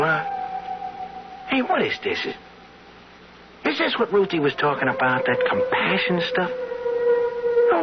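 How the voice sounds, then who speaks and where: An actor in an old-time radio drama playing an eager, uneducated, lovestruck rich man who talks in halting, colloquial, earnest speech. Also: sits high, exaggerated voice. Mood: bewildered, emotional. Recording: dull vintage radio recording, music behind.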